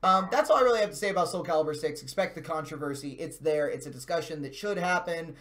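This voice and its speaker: An American man with a slightly high voice talks through gaming news as a co-host. His commentary is casual and opinionated. He picks formal words and reaches them haltingly, in long, measured phrases. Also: fairly bright tone. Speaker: co-host of a video game news show.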